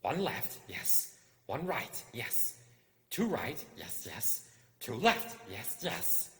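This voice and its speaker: A sly, wretched creature of the caves for a storybook reading. It hisses and whispers fearfully, muttering and repeating itself in broken grammar. The voice is sharp-edged and rings with long, echoing reverb. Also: male voice, medium pitch, big pitch swings, slow, pitched above the performer's own voice, exaggerated voice. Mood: counting anxiously. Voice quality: hissing, whispery. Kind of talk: audiobook character voice. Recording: echoey room with long reverb.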